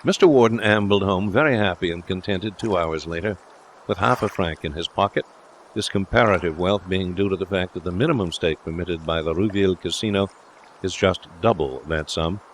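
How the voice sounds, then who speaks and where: A man's voice: low-pitched, brisk, a little hiss or hum. A man reading literary fiction aloud as an audiobook narrator, in a scripted storytelling style.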